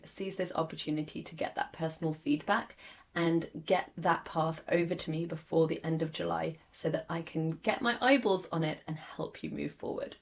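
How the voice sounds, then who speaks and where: Low-pitched hoarse woman, candid host. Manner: candid, reflective, with frequent fillers. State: hoarse, recovering from lost voice. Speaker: podcast host. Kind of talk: solo podcast monologue.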